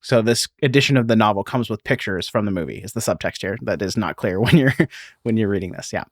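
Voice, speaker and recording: A man's voice: on the low side, fast talker, no room sound, clean studio sound. A man hosting a history podcast, explaining in a conversational way and breaking in with asides. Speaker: podcast host.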